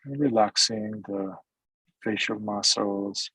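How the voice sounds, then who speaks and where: A man leading a guided meditation as a teacher, speaking gently in short, sparse phrases with long pauses. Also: fairly low pitch, husky, no echo, low-quality recording. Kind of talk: guided meditation, mindfulness instruction.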